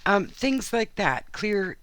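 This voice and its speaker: A woman with a hoarse, worn-out voice talks casually as she plays in a journal at her craft table. She rambles from one aside to the next, filling the gaps with ums, in the easy manner of a regular channel host. The sound comes from her home craft studio. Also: medium pitch, sing-song, slow pace, fairly bright tone, slight background noise. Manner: rambling, chatty, frequent asides and fillers. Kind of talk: casual chat while crafting.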